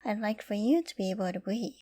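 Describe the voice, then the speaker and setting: A woman playing a doting, affectionate girlfriend in an intimate ASMR roleplay, tender and cooing with gentle teasing. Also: medium pitch, lively intonation, fast, close to an everyday voice. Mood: cozy, gentle. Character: doting, affectionate young girlfriend caring for her sick partner.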